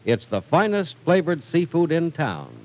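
Male announcer, vintage 1940s radio style, animated intonation, polished, emphatic scripted delivery. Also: a little high, moderate pace, low-quality recording. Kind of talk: 1940s scripted radio narration and sponsor reading.

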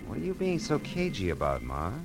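A hard-boiled private eye with a low voice, clipped and wry. He sounds world-weary, in the manner of 1940s radio noir. Music plays behind him on an old radio recording. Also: wildly swooping pitch, a little fast, creaky, full character voice. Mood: probing, sardonic. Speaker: narrator and lead actor.

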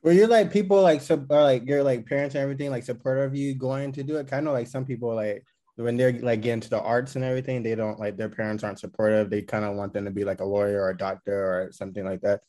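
A man's voice: medium pitch, steady tone, fast, mellow. A man hosting a casual interview, asking rambling questions in a laid-back, slangy way. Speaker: podcast host.

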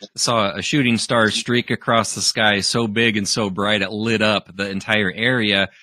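A man's voice: on the low side, flat delivery, moderate pace, sharp tone. A man hosting a podcast, talking casually and laughing easily, with many restarts. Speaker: podcast host. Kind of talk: casual conversation.

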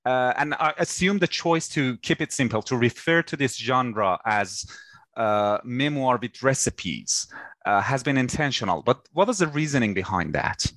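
A man's voice: mid-range voice, expressive, normal speed, a bit bright, no echo. A man hosting an interview podcast, asking polite questions with hesitant fillers. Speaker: podcast host.

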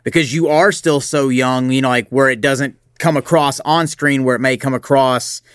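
A man's voice: mid-range voice, steady tone, normal speed, clear, resonant tone, no echo, clean studio sound. A man hosting an interview, asking long rambling questions and backing up his guest. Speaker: podcast host. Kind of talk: casual interview.

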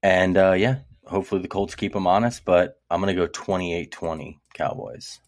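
Deep-voiced man chatting football. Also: moderate pace, no room sound, clean studio sound. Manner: relaxed, conversational, lots of fillers. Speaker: podcast co-host. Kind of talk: casual sports talk.

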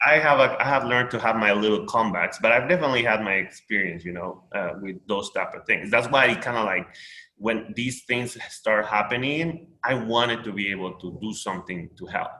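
A man's voice: lowish voice, a little fast, bright, forceful tone, reverberant, low-quality recording. A man from Peru with a Peruvian Spanish accent, Spanish his first language, talking in a rambling casual conversation full of fillers and restarts.

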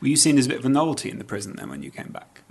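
A man's voice: medium pitch, very animated, very fast, vocal fry, husky. A man hosting a podcast and asking his guest short, direct questions. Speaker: podcast host. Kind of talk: interview questions.

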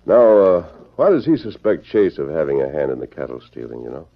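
Masked western hero, extremely low voice, expressive melody, measured, commanding, righteous, muffled vintage radio recording. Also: male voice, full character voice. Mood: calm, probing. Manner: measured, authoritative, probing questions. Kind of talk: old-time radio western acting. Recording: dull old radio recording.

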